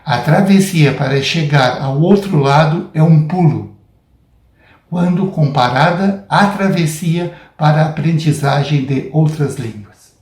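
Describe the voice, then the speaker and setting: A man with a Brazilian Portuguese accent giving a prepared academic lecture in a deliberate, measured, didactic way. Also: a little high, a little mellow. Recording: roomy, echoing sound.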